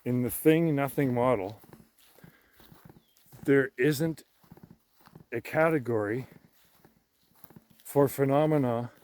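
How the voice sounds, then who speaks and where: A man rambling casually as he walks outdoors, boastful and self-assured, halting and repeating himself as he muses. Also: medium pitch, a little mellow. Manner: halting, repeats and trails off, musing, swears casually. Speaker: vlogger sharing personal philosophy. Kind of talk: rambling casual monologue while walking. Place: outdoors in woodland by a pond, walking.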